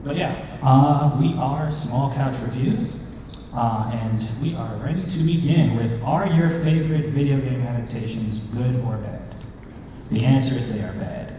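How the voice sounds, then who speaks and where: A man's voice: medium pitch, unhurried, distant mic. Man joking casually in an echoey, noisy hall. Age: 30s. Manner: joking, informal. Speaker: panel co-host, movie reviewer. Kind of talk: casual convention panel presentation. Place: convention panel room with audience, indoors.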